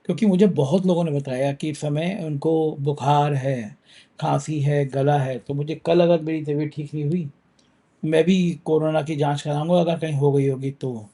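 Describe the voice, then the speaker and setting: A man's voice: fairly high pitch, steady tone, moderate pace, mellow. A man hosting a political news talk show, talking with a sore throat in a conversational, emphatic way.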